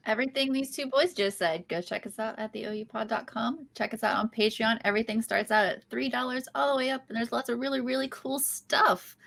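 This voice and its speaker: A bubbly woman co-hosts a podcast and chats eagerly about what she has coming up. Her voice is mid-pitched with a gravelly rasp. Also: fairly even intonation, brisk, few pauses, a bit bright. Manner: bubbly, enthusiastic. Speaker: podcast co-host. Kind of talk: casual chat.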